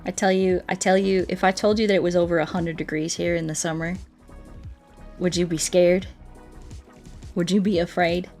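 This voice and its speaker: Young American female streamer, slightly low voice, informal and rambling storytelling delivery, faint music behind. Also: flat delivery, moderate pace, long pauses, darkish tone. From United States. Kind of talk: casual livestream chat while gaming. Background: background music.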